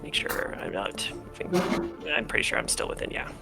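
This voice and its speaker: A tabletop roleplaying player talking casually through game turns, halting and self-correcting along the way. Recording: music behind the voice. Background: background music.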